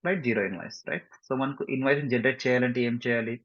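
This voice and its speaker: A man with a Telugu Indian accent giving a step-by-step software tutorial, mixing in English and often checking understanding with short tag questions. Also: medium pitch, rapid-fire. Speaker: software instructor. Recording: muffled lo-fi mic, roomy echo.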